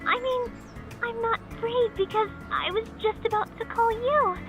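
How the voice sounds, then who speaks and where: A shy young college girl from an anime-style game. Her voice is extremely high, and she speaks politely and hesitantly, easily flustered and apologetic. Music plays clearly behind her. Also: fairly even intonation, unhurried. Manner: hesitant, soft-mannered, apologetic. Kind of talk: anime-style visual novel game acting.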